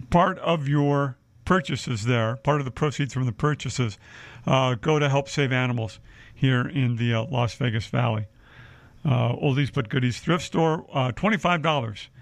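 A man's voice: medium pitch, no echo. Chatty male radio shopping host. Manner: chatty, many uh fillers. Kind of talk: live radio shopping show patter. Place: radio studio.

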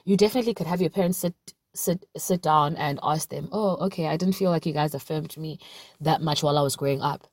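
A woman hosting a solo wellness podcast in an earnest, reflective way. Her voice is deeper than usual because she has just woken up, and she stretches words out for emphasis. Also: fast, a little mellow, no room sound. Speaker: podcast host.

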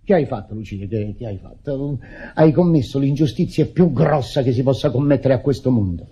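An actor playing an indignant, self-pitying widower in a radio drama, declamatory and stammering in agitation. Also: male voice, medium pitch, moderate pace, mellow, slightly rough, close to an everyday voice. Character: indignant grieving widower, theatrical, self-pitying, berating his sister. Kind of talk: Italian radio drama acting. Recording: dull lo-fi old radio recording.

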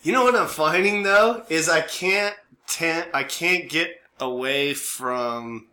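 A man's voice: fairly high pitch, slow pace, pushed and bright, roomy sound. An American man co-hosting a podcast, riffing casually in a goofy, rambling way with folksy slang. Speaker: podcast co-host. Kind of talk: casual chat, comic riffing. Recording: faint background noise.

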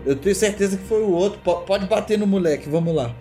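A man playing a slow-witted, trigger-happy male muscle sidekick, casual and blunt, in a tabletop game. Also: high-pitched, lightly acted. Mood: easygoing. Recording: music underneath.

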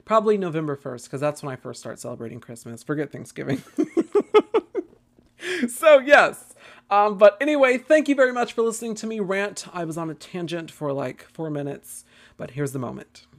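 A woman's voice: lowish voice, animated, brisk. A woman hosting a solo podcast in a casual, rambling way, with a gushing, theatrical and emphatic manner. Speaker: podcast host.

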